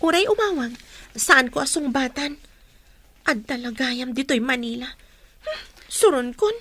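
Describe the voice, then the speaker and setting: An actress plays a young woman with a very high voice. She is indignant and quick to scold, delivering her lines in a huffy radio drama manner. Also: animated, bright, forceful tone, exaggerated voice. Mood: wary, sulky.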